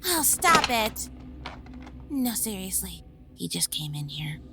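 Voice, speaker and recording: A woman playing a brisk, teasing, easily exasperated vampire researcher in an audio drama. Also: medium pitch, very animated, loud music behind the voice.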